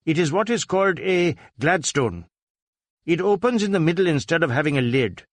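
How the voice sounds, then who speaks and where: A man voicing an anxious, wealthy Indian businessman in a crime story, halting and repeating himself. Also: a little high, animated, no room sound, voice pushed up. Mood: flustered, compliant. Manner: halting, repetitive, incoherent babbling. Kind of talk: dramatized audiobook dialogue.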